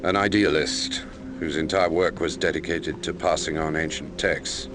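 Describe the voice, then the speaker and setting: An actor playing an investigative journalist, narrating a murder case in a measured, slightly hesitant documentary style for a game. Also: male voice, a bass voice, steady tone, background noise. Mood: measured, reflective. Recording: music bed under the voice.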